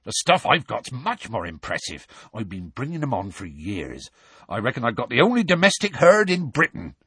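A man's voice: mid-range voice, wildly swooping pitch, moderate pace, sharp tone, husky, no room sound, studio quality, pitched above the performer's own voice, exaggerated voice. A man reading aloud, voicing a big, genial male groundskeeper with a hearty, folksy manner. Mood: enthusiastic.

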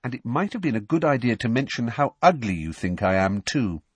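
A man's voice: a little high, huge pitch swings, moderate pace, husky, no echo, pitched above the performer's own voice, heavily acted. A man voicing a brainy teenage girl who explains things patiently, with faint exasperation, in an audiobook. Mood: maddeningly patient.

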